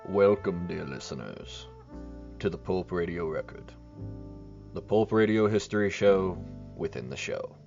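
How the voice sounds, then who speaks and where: A man plays a genial host of a radio history show. He narrates formally and with measure in a lowish, slightly muffled voice, music clearly behind him. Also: measured pace, darkish tone. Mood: formal, informative.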